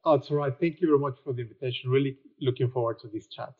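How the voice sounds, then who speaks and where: A middle-aged man from Mexico with a Mexican accent, a guest architect telling his story in a relaxed way with fillers and restarts. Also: medium pitch, racing, narrow, tinny audio. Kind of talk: conversational interview answer.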